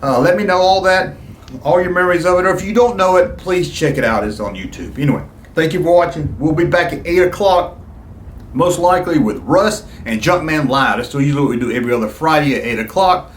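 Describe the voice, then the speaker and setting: A man's voice: on the high side, expressive, a little fast. A middle-aged man hosting a casual chat for viewers, reminiscing in a rambling, nostalgic way with frequent asides. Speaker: host of a fan video channel. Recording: roomy echo, clear background noise.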